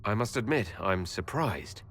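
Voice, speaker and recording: An actor playing a composed, dry-witted hero and leader in a fantasy video game. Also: male voice, lowish voice, animated, a bit bright, husky, exaggerated voice. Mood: firm. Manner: measured, pragmatic, dryly joking. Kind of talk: video game dialogue acting. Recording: faint background noise.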